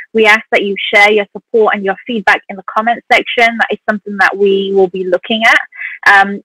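A woman's voice: medium pitch, sharp tone, no room sound, low-quality recording. A woman co-hosting an online poetry competition, explaining the rules in a chatty way with many fillers. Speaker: competition co-host. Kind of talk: casual live-stream hosting. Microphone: online call.

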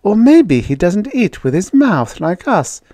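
A man voicing a brisk, bossy, stubbornly practical woman settler in a story, coaxing and defiant by turns. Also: high-pitched, sing-song, warm tone, dry recording, voice pushed up, heavily acted. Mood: musing.

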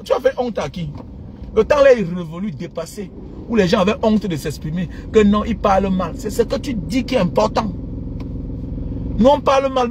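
High-pitched, animated Cameroonian man ranting. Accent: Cameroonian French. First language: an African mother tongue. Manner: animated, indignant, repetitive, mocking. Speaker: social media commentator. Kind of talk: impassioned informal rant to followers. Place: inside a moving car. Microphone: phone at arm's length. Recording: noisy car interior with road traffic.

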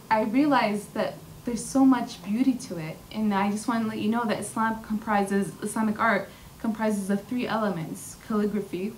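Young woman, podium talk, earnest, halting fillers, mic a bit distant, faint room hum. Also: medium pitch. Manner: earnest, many fillers and restarts. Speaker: featured artist speaker. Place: large indoor hall with glass wall.

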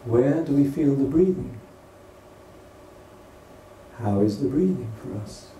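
A man leading a guided meditation as a teacher, speaking gently and unhurriedly in short phrases with pauses between them. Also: medium pitch, expressive. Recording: distant roomy recording with faint noise.

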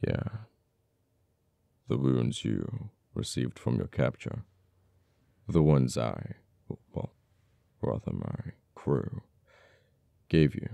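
A man acting a very deep, gravelly, taunting official. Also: very animated, dark tone, no room sound, exaggerated voice. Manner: taunting, deliberate, with dramatic pauses. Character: uptight government official, cold, teasing, mournful toward captured former friend. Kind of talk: ASMR audio roleplay.

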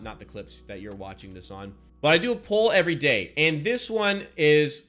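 A young man in his twenties hosting a basketball post-game show, recapping stats with fan energy. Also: medium pitch. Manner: energetic, animated fan recap with hand gestures. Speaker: sports reaction host. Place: small room in front of a green screen.

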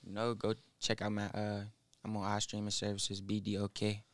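A nineteen-year-old man, a rapper guest in a small studio, speaking haltingly into a boom mic with many fillers. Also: monotone, a little hiss or hum. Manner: halting, mumbled, full of fillers. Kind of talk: casual interview. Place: small studio with foam wall. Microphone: studio mic on boom arm, headphones.

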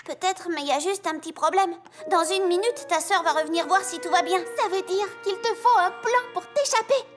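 A woman's voice: very high-pitched, brisk. A woman voicing a young filly in a cartoon, a cute, scheming sidekick. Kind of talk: animated cartoon dub acting. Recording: music behind the voice.

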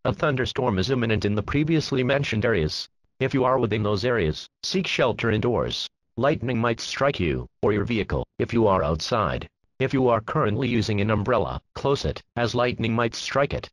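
Mid-pitched synthetic male emergency announcer. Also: lively intonation, normal speed, no echo. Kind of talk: scripted emergency warning announcement.

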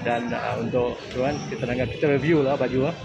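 A man's voice: medium pitch, brisk, vocal fry, muffled recording, heavy background noise. A man hosting a casual vlog, chatting with stall sellers at a busy outdoor bazaar. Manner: chatty, loud and excited while buying food, calmer when interviewing. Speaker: vlogger. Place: crowded outdoor Ramadan bazaar. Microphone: handheld camera mic. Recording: handheld camera in loud crowd with music.

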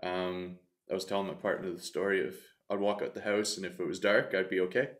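Low-voiced man telling a personal story. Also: fairly even intonation, fairly quick, fairly bright tone. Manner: earnest, reflective, self-interrupting. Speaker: podcast guest, coach. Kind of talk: candid storytelling in conversation. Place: bright studio room, indoors. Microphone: desk mic on stand.

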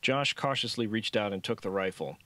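Male audiobook narrator, fairly low pitch, scripted fiction read in a dry recording. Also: normal speed, bright, forceful tone, no echo.

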